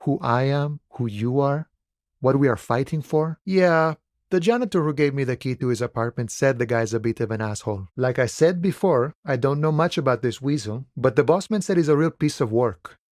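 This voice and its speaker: A man acting as a laid-back union dockworker from a video game, with a mid-pitched voice in a very dry recording. He talks in an unhurried, familiar way, wry and philosophical, friendly without ever pushing. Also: expressive, a little mellow, no echo, lightly acted. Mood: friendly, reflective. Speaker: video game character voice actor.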